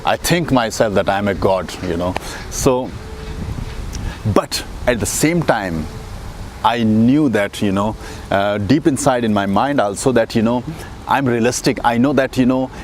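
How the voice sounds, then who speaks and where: A man's voice: mid-range voice, measured pace. A man with an Indian accent talks in a rambling casual vlog with many fillers and restarts, sitting outdoors on a windy day. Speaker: dating coach vlogger. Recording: faint street and traffic noise outdoors.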